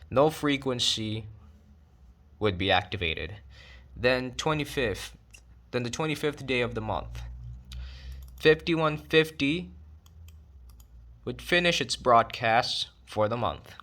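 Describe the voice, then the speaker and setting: A man's voice: mid-range voice, fairly bright tone. A man narrating an explanatory online video in a documentary style, with casual, conversational asides.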